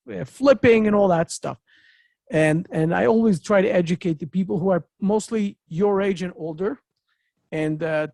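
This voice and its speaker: Excitable high-voiced male podcast host. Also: dry recording. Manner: excitable, repetitive, non-native phrasing. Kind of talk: enthusiastic interview chat. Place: home office with bookshelves. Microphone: studio mic on boom arm.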